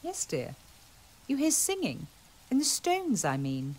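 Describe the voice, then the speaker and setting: A woman's voice: fairly high pitch, wildly swooping pitch, slow pace, a little mellow, husky, heavily acted. A woman playing a dreamy, knowing local lady. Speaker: local woman who knows folklore.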